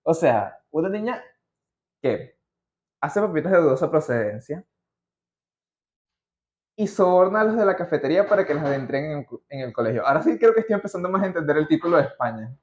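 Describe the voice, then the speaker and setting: A man's voice: a little high, big pitch swings, brisk, long pauses, darkish tone. A man with a Venezuelan accent hosting a podcast, telling things casually from his notes in a slangy, digressive way. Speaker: podcast host. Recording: muffled, roomy podcast recording.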